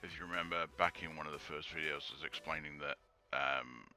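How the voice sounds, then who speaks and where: A man with a croaky sore throat, thinking aloud through a casual 3D modelling tutorial with lots of ums. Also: a bass voice, steady tone, fairly quick, bright, forceful tone, faint music behind. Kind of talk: casual screen-recorded tutorial.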